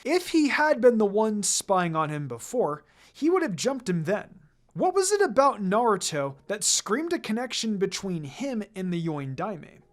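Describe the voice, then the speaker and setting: A narrator reading fan fiction aloud in an expressive storytelling style. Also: medium pitch.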